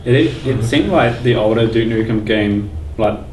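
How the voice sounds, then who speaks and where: A man's voice: on the low side, normal speed, darkish tone. A man hosting a podcast in a casual, chatty style. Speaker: podcast host. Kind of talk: casual chat. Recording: echoey, distant, faint background noise.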